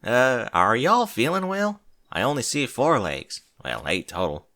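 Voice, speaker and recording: A man's voice: mid-range voice, huge pitch swings, unhurried, bright, forceful tone, full character voice. Man voicing a drawling Southern farm mare. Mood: skeptical. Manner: drawling country talk, plain-spoken. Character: folksy, skeptical female farm pony.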